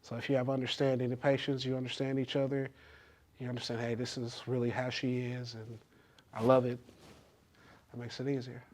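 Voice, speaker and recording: A man's voice: mid-range voice, level tone, a little fast, darkish tone. A man, a guest on a casual interview, talking reflectively and easily about patience and love. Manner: reflective, easygoing.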